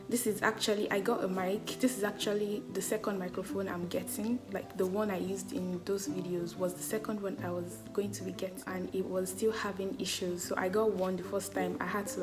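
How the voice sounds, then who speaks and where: Loud chatty Nigerian woman vlogger. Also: medium pitch. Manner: chatty, full of "like" fillers, laughs often. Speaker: travel vlogger. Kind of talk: casual talk to camera. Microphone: camera mic, no external mic. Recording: background music.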